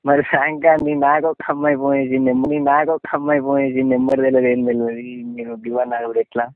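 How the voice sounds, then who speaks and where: A man's voice: medium pitch, level tone, rapid-fire, no room sound. A man with a Telangana Telugu accent chats casually on the phone, blunt, wary and full of questions. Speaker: friend receiving a prank phone call. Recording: tinny, dry, band-limited phone line.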